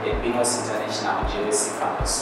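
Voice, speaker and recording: A man's voice: lowish voice, flat delivery, fast. Zimbabwean-accented young man chatting, music behind. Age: young adult. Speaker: international student guest. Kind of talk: casual chat. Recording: echoey room with music behind.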